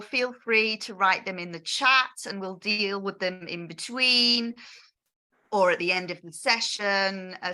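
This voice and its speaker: A middle-aged woman from the Manchester area hosts an online session in a chatty, rambling way. Her voice is bright and forceful, set at an ordinary pitch, and it comes through a video-call mic. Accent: northern English, Manchester area. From Austria, grew up in England. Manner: chatty, friendly, rambling asides. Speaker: host of online product session.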